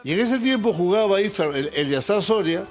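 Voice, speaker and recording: A male host leads a casual interview in a somewhat high voice. He teases his guest and laughs easily, with faint music playing in the background. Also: animated, a little fast. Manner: joking, playful, laughs easily.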